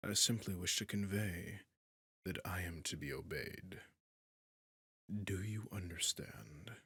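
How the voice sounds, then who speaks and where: A man playing an imperious, possessive dragon king in a fantasy audio roleplay, speaking formally and deliberately in a husky growl that sinks into whispers. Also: low voice, sharp tone, no room sound, heavily acted. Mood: stern, commanding. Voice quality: husky, gravelly, breathy growl that drops into whispers. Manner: slow, formal, commanding, deliberate pauses. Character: imperious, possessive dragon king, menacing yet courtly suitor. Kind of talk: scripted fantasy audio roleplay addressed to the listener.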